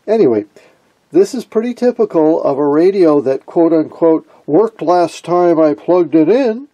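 A man giving a casual, colloquial technical explanation as host of a repair video, talking haltingly. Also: a little high, lively intonation, warm tone, dry recording, dull audio.

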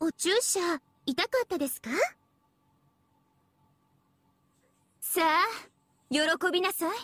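A smug young anime game villainess, high and very lively in pitch. She teases in a cutesy, theatrical schoolgirl way, recorded close and dry. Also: normal speed, dry recording. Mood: theatrical, playful. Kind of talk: Japanese mobile game character voice lines.

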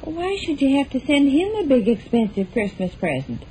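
An actress playing a thrifty, exasperated wife who scolds with folksy sarcasm in a 1940s radio comedy. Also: female voice, sits high, big pitch swings, normal speed, mellow. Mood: nagging. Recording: noisy old 1940s radio broadcast.

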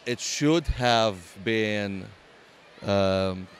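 A man with a Romanian accent speaks slowly and haltingly in a lowish voice, pausing often to find his words. He is interviewed inside a large convention hall with faint crowd noise behind him. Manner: hesitant, many fillers and restarts. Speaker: LEGO builder and exhibitor. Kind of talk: casual interview.

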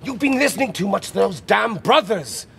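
An actor in a historical audio drama playing a proud, hot-tempered young knight, a bossy elder brother with an excitable manner. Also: male voice, high-pitched, moderate pace, creaky, slight background noise, full character voice. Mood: exasperated. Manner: scolding, excitable, deferential to superiors.

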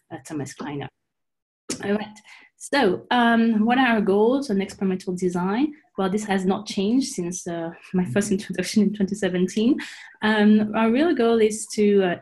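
Mellow middle-aged woman presenting research. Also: fairly low pitch. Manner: halting, explanatory, informal. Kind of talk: online scientific presentation with slides. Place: indoors on a video call.

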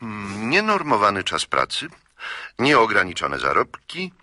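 An actor playing a precise, insistent eccentric gentleman in a radio play, speaking formally and deliberately, as if dictating. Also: lowish voice, wildly swooping pitch, no room sound, studio quality, full character voice. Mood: insistent, emphatic. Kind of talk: radio drama acting.

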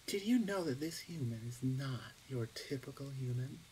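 Woman voicing female orc shaman, teasing, smug, self-assured, drawn-out phrasing, ASMR roleplay, faint ambient noise. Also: rumbling low, lively intonation, a little mellow, low-quality recording. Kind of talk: ASMR roleplay audio drama.

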